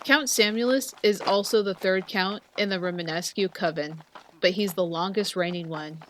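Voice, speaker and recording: A woman's voice: mid-range voice, normal speed, a bit bright, a little hiss or hum, close to an everyday voice, low-quality recording. A woman playing a seasoned, friendly vampire hunter who mentors a newcomer in a conversational, teasing way in a fantasy audio roleplay. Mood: serious, reassuring. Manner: conversational, explanatory, playful teasing. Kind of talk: scripted fantasy audio roleplay addressed to the listener.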